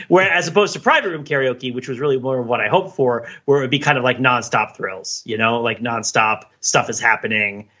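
A man's voice: mid-range voice, fairly quick, few pauses, bright, forceful tone. A talkative man chatting casually and at rambling length as a podcast co-host. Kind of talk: casual chat. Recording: dull, lo-fi microphone.

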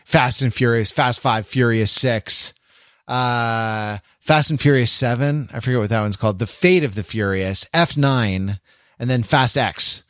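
A man chatting casually as a podcast co-host, halting and often repeating his words as he goes. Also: medium pitch, pushed and bright, smooth, no echo, clean studio sound. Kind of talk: casual chat.

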